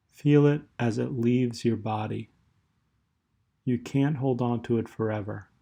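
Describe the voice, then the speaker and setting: A man with a medium-pitched voice leads a guided meditation. His delivery is very slow and measured, with long pauses between short phrases. The tone is dark, relaxed and soothing throughout. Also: creaky.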